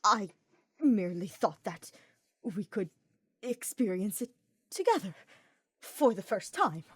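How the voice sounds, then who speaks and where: A reserved male mage, acted by a woman narrator, uneasy and formal, picking out stiff, halting phrases in a storybook reading. The recording is dry and close. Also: mid-range voice, very animated, husky, dry recording, full character voice. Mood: awkward, guarded. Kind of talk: dramatised fiction reading.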